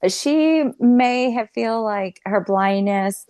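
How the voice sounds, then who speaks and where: A woman as an interview guest, talking casually in a halting, playful way about her work. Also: fairly high pitch, lively intonation, darkish tone, clear, resonant tone, no room sound. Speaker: guest, stage play actor.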